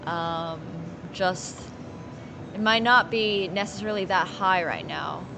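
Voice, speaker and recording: Woman chatting over clear rumbling noise. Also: slow. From Finland. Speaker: live streamer. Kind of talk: casual chat while playing poker, answering viewers.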